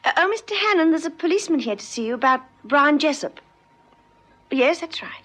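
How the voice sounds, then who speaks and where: An obliging secretary in a vintage radio serial. Her voice is high and very lilting. She is polite, efficient and deferential. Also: lively intonation, brisk, fairly bright tone. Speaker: actress in a radio police drama. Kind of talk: old South African radio drama acting.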